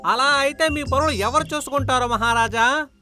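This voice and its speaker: A puzzled little monkey subject from a children's cartoon. The male voice is extremely high, respectful and questioning, over clear background music. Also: normal speed, pushed and bright, full character voice. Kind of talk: children's cartoon story dubbing. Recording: clear music bed behind the voice.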